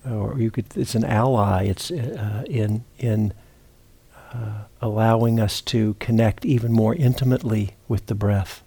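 A man with a fairly low, very soft-edged and mellow voice leads a guided meditation. He speaks as a teacher, unhurried and halting, leaving long pauses and often repeating a word before going on. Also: steady tone, gravelly, slightly rough. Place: meditation retreat center.

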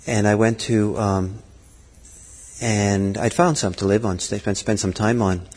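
Middle-aged male teacher, husky voice, halting reflective delivery, long hall reverb. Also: lowish voice, fairly even intonation, a little mellow. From Norway. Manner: thoughtful, halting, many fillers and restarts. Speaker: Buddhist teacher. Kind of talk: dharma talk.

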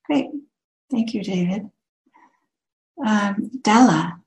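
Woman host, slight vocal fry, leads an online group warmly through roll call. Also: medium pitch, slow. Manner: calls on participants one by one, unmuting them. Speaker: meditation class host. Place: video call.

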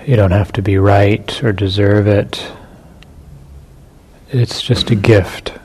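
A man leading a guided meditation as a teacher, speaking in short phrases with long pauses between them. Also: on the low side, fairly even intonation, darkish tone, slightly rough.

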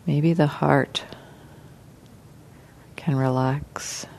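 A woman teaching guided meditation in a gentle, unhurried manner, leaving long pauses between short phrases. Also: low voice, steady tone, gravelly.

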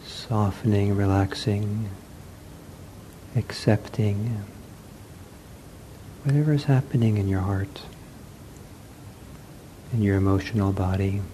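A man teaching a guided meditation in gentle, soothing short phrases with long pauses. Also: on the low side, a little hiss or hum, poor audio.

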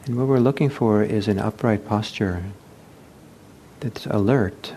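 A man leading a guided meditation as a teacher, speaking gently and unhurriedly with halting pauses. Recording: low rumbling background noise.

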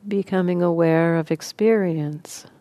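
A woman leading a guided meditation as a teacher, speaking in unhurried, sparse phrases with long pauses. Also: lowish voice, mellow, dry recording.

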